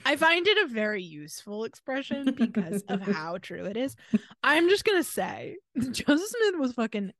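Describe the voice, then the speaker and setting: A woman's voice: fairly high pitch, very animated, moderate pace, a bit bright, no room sound, clean studio sound. An American woman co-hosting a casual podcast, telling stories in an irreverent, exasperated way with emphatic asides. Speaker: podcast co-host.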